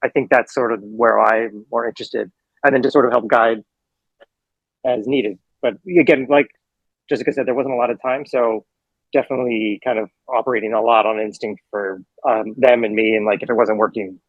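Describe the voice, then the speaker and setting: Rambling man with a gravelly, muffled voice. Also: medium pitch, fairly even intonation, brisk, no echo. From Hawaii. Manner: rambling, filler-heavy, self-correcting. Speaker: film director. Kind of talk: casual interview answers.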